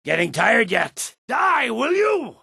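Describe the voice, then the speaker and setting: Imperial general, middle-aged: proud, impatient, forceful and authoritative, dry close sound, for a fantasy game. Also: male voice, extremely high pitch, huge pitch swings, vocal fry, breathy edge, no echo, full character voice. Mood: combative. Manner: commanding, clipped military authority, deliberate emphatic delivery. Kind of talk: scripted video game voice acting.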